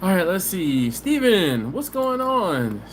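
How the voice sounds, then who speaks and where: A man's voice: high-pitched, very animated. A man, hosting a casual live stream from home on his phone and chatting easygoing with viewers. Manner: easygoing and chatty, with drawn-out "mm-mm" hums. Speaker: barbecue channel host. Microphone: phone camera. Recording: live stream audio with background hiss.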